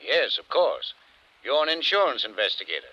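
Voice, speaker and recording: An actor playing a curt, guarded acting county attorney in a 1950s radio drama, with an American accent on a dull vintage recording. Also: male voice, medium pitch, big pitch swings, normal speed, bright, forceful tone, dry recording, heavily acted.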